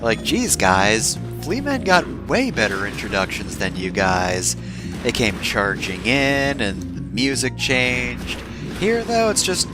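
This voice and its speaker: A man's voice: medium pitch, sing-song, slow, over music. A man giving casual, rambling video game commentary as a let's-play host, with wry, sarcastic asides and frequent digressions.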